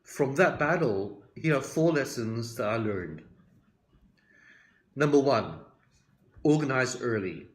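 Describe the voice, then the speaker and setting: An older man from Hong Kong and Australia giving a prepared, measured explanatory talk to the camera as a community organizer, recorded in a room at home. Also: medium pitch, animated. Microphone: phone or webcam at arm's length.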